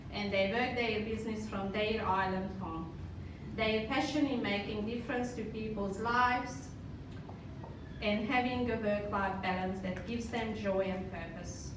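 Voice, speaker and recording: A woman with a Hungarian accent haltingly reads out an introduction for a guest speaker as an event host. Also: medium pitch. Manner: halting, stumbling reading. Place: roomy live event venue. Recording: echoey, distant, noisy room recording.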